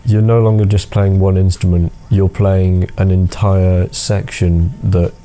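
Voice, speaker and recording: A young man with a thick Scouse accent, a music student answering interview questions thoughtfully, pausing often with ums. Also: sits low, level tone, warm tone, slight background noise. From Liverpool. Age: young adult. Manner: thoughtful, hesitant with many ums.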